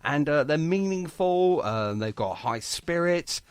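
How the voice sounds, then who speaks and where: A male radio host with a fairly high voice and very animated, swooping intonation. He chats effusively and pays generous compliments as he interviews, recorded close and dry. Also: measured pace, smooth, no room sound. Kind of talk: chatty radio music interview.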